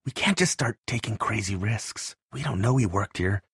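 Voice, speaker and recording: An actor playing an awkward, earnest, tech-minded man in a zombie survival video game, speaking in a hesitant, wry, matter-of-fact way with a husky voice. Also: on the low side, animated, moderate pace, fairly bright tone, no room sound, clean studio sound, exaggerated voice. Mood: uneasy, cautious. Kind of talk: naturalistic video game voice acting.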